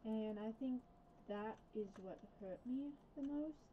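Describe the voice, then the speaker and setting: A woman from the USA chatting casually while playing a game, rambling and correcting herself, with soft r sounds left over from a past speech difficulty. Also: on the high side, slow, dull audio, slight background noise. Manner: rambling, self-correcting, hesitant. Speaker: gaming vlogger. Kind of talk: casual gameplay chat.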